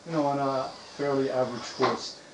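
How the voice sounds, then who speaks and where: A man's voice: mid-range voice, little pitch movement, fairly quick, creaky, background noise. Conversational man answering a group's questions. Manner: conversational, many fillers, self-correcting. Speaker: community spokesman answering questions. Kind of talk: informal Q&A with a visiting group.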